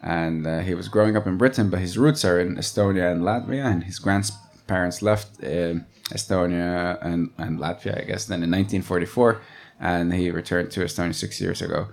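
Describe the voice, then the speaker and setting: A man's voice: low voice, fairly even intonation, moderate pace, creaky. A man hosting an interview, introducing his guest and asking questions with many hesitations. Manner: hesitant, many fillers and restarts.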